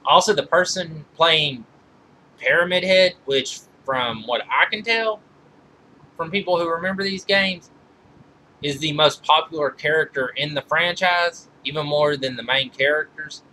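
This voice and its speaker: A man giving an informal review commentary from notes, halting and often backing up to rephrase his sentences. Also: a little high, sharp tone, slight background noise, low-quality recording. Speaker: video reviewer counting down a list of movies.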